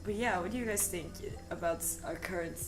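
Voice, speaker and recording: A woman with a fairly low voice talks through her ideas on a live stream, pausing on fillers and laughing easily. Music plays clearly in the background. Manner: thoughtful, explains with many fillers, laughs easily. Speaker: co-host of a language stream. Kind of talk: casual discussion on a live stream. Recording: music playing behind the talk.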